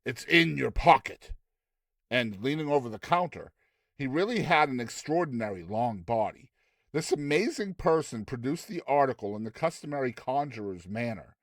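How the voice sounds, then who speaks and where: A man narrating classic fiction as a dramatized audiobook, with measured, theatrical storytelling. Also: medium pitch, expressive, no room sound, clean studio sound. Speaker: narrator, reader.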